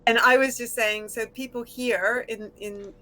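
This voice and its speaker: An American woman whose accent has shifted toward British after decades in the UK, chatting relaxed as a guest artist over a voice call. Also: fairly high pitch, measured pace, rough recording. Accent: American softened by decades in Britain. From United States. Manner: relaxed, laughs easily, trails off. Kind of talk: casual interview chat.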